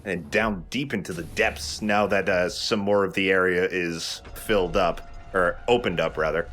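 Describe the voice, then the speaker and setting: A man's voice: sits low, fairly even intonation, normal speed, a bit bright. A man giving casual commentary over video game footage as a let's play commentator.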